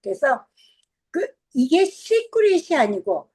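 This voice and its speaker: A woman's voice: sits high, very animated, racing, darkish tone. A woman from South Korea with a Korean accent and Korean as her first language. She gives earnest, encouraging advice in casual conversation and repeats her key points. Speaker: guest.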